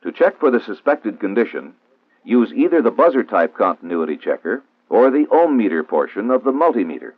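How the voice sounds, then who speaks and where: A man's voice: on the low side, very animated, no echo. Band-limited 1960s film narrator, American man. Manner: formal, measured, didactic. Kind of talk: scripted instructional narration, 1960s training film. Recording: old 1960s film soundtrack, narrow phone-like band, dry.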